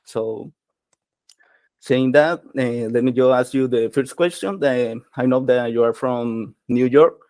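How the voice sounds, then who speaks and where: A man's voice: medium pitch, slow pace, a little mellow, no room sound. A man whose first language is Spanish, hosting an interview and asking questions hesitantly, searching for words. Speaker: interviewer, host.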